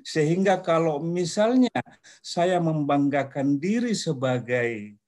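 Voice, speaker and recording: Guy in his 50s on a video call, slow careful talker, halting, asking a question in a seminar. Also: on the high side, animated, darkish tone. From Indonesia. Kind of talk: discussion question in an online forum. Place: small office, indoors. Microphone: video call, laptop at desk distance.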